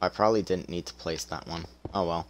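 A man's voice: sits low, fairly even intonation, fairly quick, a little hiss or hum. A young man streaming a game, talking casually through his play with a tired, strained voice and a chatty, self-deprecating manner. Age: young adult. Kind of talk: casual gameplay commentary.

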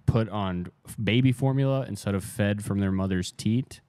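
A man's voice: lowish voice, moderate pace, warm tone, smooth, dry recording. A man co-hosting a comedy podcast, playful and jokey. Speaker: podcast co-host. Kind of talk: casual comedic banter.

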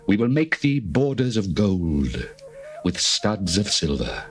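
An adoring young lover praising his bride, played by a man, unhurried and admiring in a fairly low voice. Faint music sits beneath this dramatized scripture reading. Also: sing-song, heavily acted.

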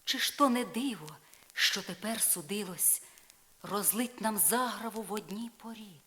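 An actress playing a proud, jealous, sorrowful spurned wife in a solemn verse drama, shifting from breathy hush to declamation. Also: female voice, mid-range voice, unhurried, a little hiss or hum. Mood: solemn, mournful. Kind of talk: dramatic poetry reading, slow and solemn. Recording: vinyl record rip.

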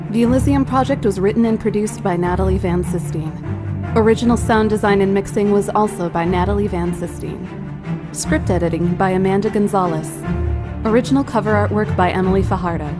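A woman reading the credits of an audio drama in a measured way. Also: medium pitch. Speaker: credits announcer. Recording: voice-over with clear music.

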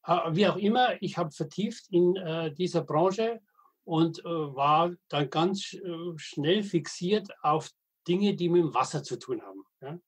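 A man, telling anecdotes as an interview guest with halting, hesitant phrasing and dry humor. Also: on the high side, lively intonation, breathy edge. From Middle Franconia, Germany. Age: 80s. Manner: halting, frequent hesitations and restarts. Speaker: guest, veteran wastewater engineer and editor. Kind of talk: podcast interview, storytelling.

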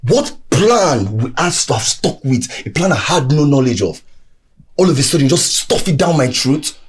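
An actor playing a hurt, defensive young boyfriend who pleads and argues. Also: male voice, moderate pace, breathy edge. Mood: exasperated. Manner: pleading, exasperated, lively rises in pitch.

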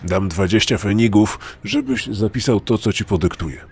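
An actor playing a menacing ageing man dictating a letter, with a husky voice, in a true-crime dramatization. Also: lowish voice, normal speed, slight background noise, lightly acted. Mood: calm, weary.

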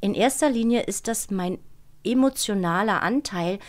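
A middle-aged woman, a voice actress, talking in a studio interview in a lively, expressive way and acting out little scenes. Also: mid-range voice, normal speed. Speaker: voice actress guest. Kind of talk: interview conversation. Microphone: studio microphone.

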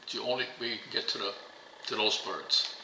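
A man's voice: lowish voice, brisk, bright, forceful tone, roomy sound, a little hiss or hum, rough recording. An older man whose first language is German, telling stories in a casual interview at a dining table at home, rambling and repeating words. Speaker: interviewee, pigeon fancier. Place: bright home dining room, indoors.

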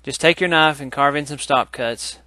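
A man's voice: fairly high pitch, normal speed, sharp tone, no room sound. A man narrating a hands-on craft tutorial in a casual, instructional style. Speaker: woodcarving tutorial host.

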